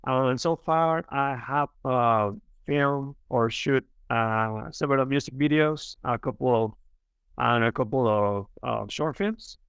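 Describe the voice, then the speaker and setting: A young man from Mexico City with a Mexican Spanish accent, speaking English as a guest on a podcast interview, earnest and halting, with many fillers and restarts. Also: mid-range voice, a bit bright, smooth, no room sound. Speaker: filmmaker guest.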